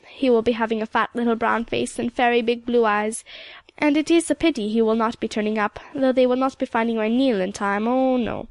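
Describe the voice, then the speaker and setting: A woman's voice: on the high side, no room sound. A woman voicing a composed, proud, sorrowful elderly woman in an audiobook, with lilting old-country phrasing and a habit of tagging on "oh yes". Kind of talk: audiobook character dialogue.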